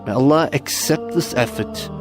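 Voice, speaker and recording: A man's voice: medium pitch, moderate pace, over music. A man giving solemn, scripted religious narration as a narrator.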